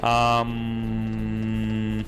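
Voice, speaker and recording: A man's voice: lowish voice, monotone, very slow, soft background music. A man giving casual live game commentary, rambling and joking as he chats to his viewers. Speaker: game streamer.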